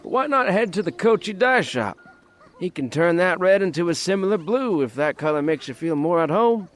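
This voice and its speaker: A man's voice: high-pitched, lively intonation, moderate pace. A man playing a cheerful, talkative, folksy villager with a teasing manner in a fan audio drama. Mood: cheerful, encouraging.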